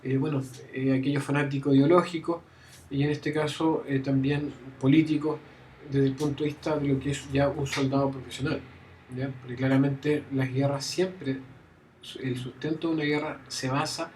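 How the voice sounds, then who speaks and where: A man's voice: medium pitch, little pitch movement, moderate pace, a little mellow, a little hiss or hum, poor audio. Man lecturing a university class. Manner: frequent fillers and restarts, checks understanding with "¿ya?".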